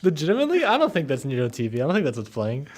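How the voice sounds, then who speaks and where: A man's voice: fairly high pitch, wildly swooping pitch, very fast. Hesitant man in casual game chat. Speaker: player in a tabletop game podcast.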